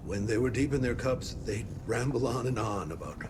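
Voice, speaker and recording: An actor playing a kindly frontier priest who speaks in a gentle, fatherly, sermonizing way. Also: mid-range voice, expressive, moderate pace, background noise, lightly acted. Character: kindly folksy frontier padre, preachy.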